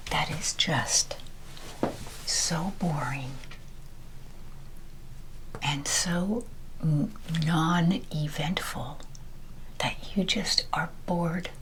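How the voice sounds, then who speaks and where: A woman whispering a rambling ASMR chat while ironing, her voice with a deeper timbre. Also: fairly bright tone, a little hiss or hum, rough recording. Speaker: ASMR creator. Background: ironing noises.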